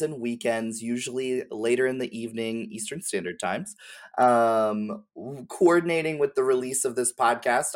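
A podcast guest and streamer chatting casually in a friendly, gushing, slangy way. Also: medium pitch. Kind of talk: casual chat.

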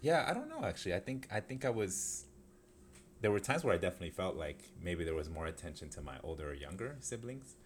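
A man's voice: deep voice, sing-song, fast, slight background noise. A man in his twenties from Los Angeles, co-hosting a casual podcast, rambling and laughing at himself. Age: 20s. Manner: rambling, self-interrupting, laughs often. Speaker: podcast co-host. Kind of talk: casual chat.

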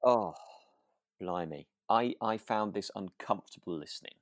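A man's voice: lowish voice, moderate pace, dry recording, clean studio sound. A British man co-hosting a podcast in casual chat, often rephrasing his thoughts mid-sentence. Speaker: podcast co-host. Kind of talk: casual chat, reviewing a radio drama.

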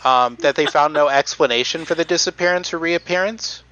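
A man's voice: fairly high pitch, a little fast, bright, forceful tone, no room sound, dull audio. A man hosting a casual podcast, reading out notes with many ums and restarts. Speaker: podcast host presenting research.

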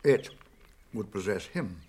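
Wise old british wizard for radio drama, husky, swooping pitch, grave but kindly, takes his time. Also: animated, darkish tone, heavily acted. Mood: grave, ominous. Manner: deliberate, pausing, murmuring hums and chuckles.

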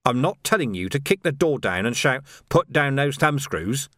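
A narrator puts on a stern middle-aged watch commander who lays down the rules in a brisk, commanding way. His pitch swings widely as he presses each point. The reading is close and dry, with no room sound. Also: sing-song, a bit bright, dry recording. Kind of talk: audiobook character voice.

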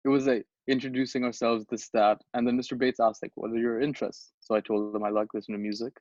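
A man's voice: mid-range voice, level tone, fast, darkish tone, no echo, lo-fi sound. A young man from Bangladesh co-hosting a podcast, telling a story in a rambling, casual way with lots of restarts and fillers. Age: young adult. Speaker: podcast co-host. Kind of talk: casual chat.

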